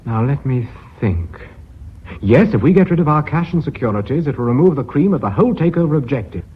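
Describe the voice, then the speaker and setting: An actor playing a dry-humoured company director chairing a board in a radio drama, formal and wry. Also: male voice, medium pitch, lively intonation, a little fast, slightly rough. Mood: assured. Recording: muffled lo-fi radio drama recording.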